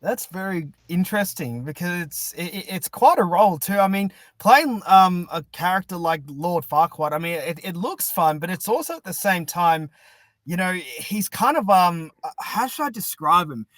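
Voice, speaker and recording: A man co-hosting a casual interview, speaking haltingly and often repeating words as he searches for phrasing. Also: high voice, expressive, fairly bright tone, no room sound. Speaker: podcast co-host.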